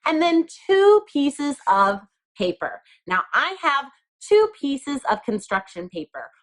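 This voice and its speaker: A woman teacher walks small children through a hands-on craft. Her delivery is very slow and patient, with a lively, swooping melody. She keeps each instruction simple and spells it out step by step. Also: high voice, low-quality recording.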